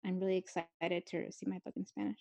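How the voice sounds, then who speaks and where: A woman's voice: fairly low pitch, little pitch movement, a little fast, creaky, a little mellow. A young adult woman author talking candidly and rambling, with lots of filler words and laughing asides. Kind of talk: candid conversational talk.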